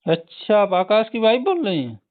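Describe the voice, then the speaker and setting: A man's voice: high-pitched, big pitch swings, normal speed, dry recording. A young man, chatting casually as a private tutor over the phone. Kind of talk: casual chat. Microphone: phone call. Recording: dry, slightly tinny phone call recording.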